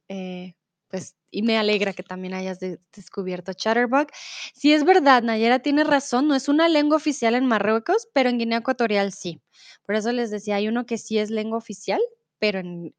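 A woman's voice: fairly high pitch, no room sound. Chatty Colombian woman teaching Spanish. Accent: Bogotá Colombian, with a little Mexican. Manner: chatty, reads out chat messages, switches to English and German. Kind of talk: live online language class.

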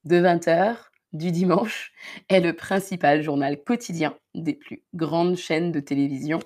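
A woman's voice: sits low, fairly even intonation, unhurried, darkish tone. A woman with a Parisian accent, from the Paris region, teaching a live-streamed French lesson with careful explanations and repeated key words. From Paris region, France.

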